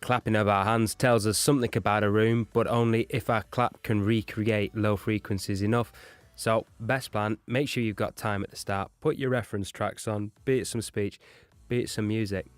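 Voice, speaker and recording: Guy with a lowish voice teaching audio engineering, explaining stuff step by step. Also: steady tone, moderate pace, dry recording. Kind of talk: explanatory lesson narration.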